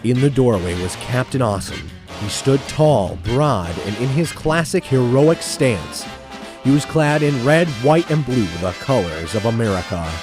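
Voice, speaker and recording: A man's voice: medium pitch, animated, measured pace, music in the background, natural voice. A man narrating a scripted superhero audio drama in an earnest, theatrical storytelling style. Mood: dramatic.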